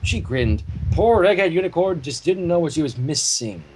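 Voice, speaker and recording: A man's voice: on the high side, lively intonation, normal speed. A man reading fan fiction as a narrator with casual asides, on a slightly noisy home recording.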